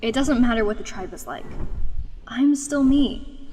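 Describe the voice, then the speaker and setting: A woman's voice: on the high side, measured pace, mellow, slight background noise, natural voice. A woman playing a proud, wounded young female dragon in a fantasy dub, dry, guarded and sarcastic. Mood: brooding. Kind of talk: dubbed fantasy drama acting.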